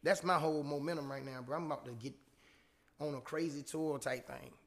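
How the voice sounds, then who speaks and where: A man's voice: fairly even intonation, fairly quick, vocal fry, a bit bright. A young man. He is an independent rap artist talking casually in an interview, full of street slang, calling people "bro" and checking in with "you know what I'm saying". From Portsmouth, Virginia. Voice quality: high-pitched voice.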